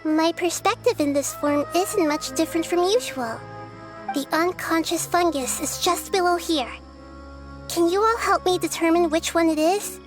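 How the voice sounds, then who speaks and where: Gentle, curious little girl goddess in a fantasy game, very high and soft, over clear game music. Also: moderate pace. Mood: calm. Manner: soft, calm, earnest.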